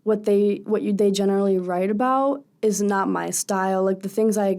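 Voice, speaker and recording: A young woman speaks with a low, raspy voice. She is a singer guesting on a radio show, and she answers openly and earnestly in long, thoughtful stretches. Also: fairly even intonation, moderate pace, a little mellow. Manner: earnest, candid, talks in long thoughtful runs. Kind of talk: casual radio interview. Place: radio studio.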